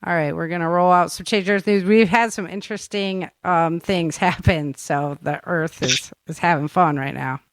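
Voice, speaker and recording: A woman's voice: lowish voice, steady tone, normal speed, hardly any pauses, no echo. A woman hosting a podcast in a casual, rambling, conversational interview style. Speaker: podcast host.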